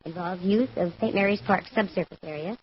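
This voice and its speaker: A woman's voice: fairly low pitch, brisk, close to an everyday voice. An American actress playing a wry, self-assured female private detective in 1940s radio style, breezy and teasing. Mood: matter-of-fact. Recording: dull, noisy vintage radio recording.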